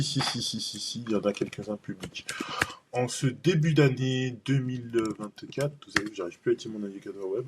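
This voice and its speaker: A man's voice: mid-range voice, a little hiss or hum. A man presenting a cloud benchmarking webinar in a casual, rambling way, full of fillers and restarts.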